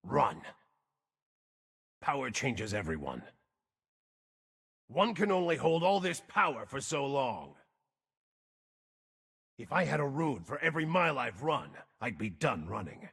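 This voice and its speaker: An actor playing a gruff, brooding wandering mage in a video game, world-weary and terse, with a raspy, gravelly voice. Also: male voice, mid-range voice, sing-song, a little slow, long pauses, a bit bright, in a large hall, full character voice. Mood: weary, grim. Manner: terse, grim, theatrical delivery. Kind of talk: video game character voice lines.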